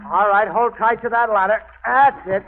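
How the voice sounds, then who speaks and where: An actor playing a helpful, plain-spoken and deferential sailor, in 1940s radio style. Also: male voice, high-pitched, steady tone, normal speed, soft background music. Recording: tinny, noisy 1940s radio recording.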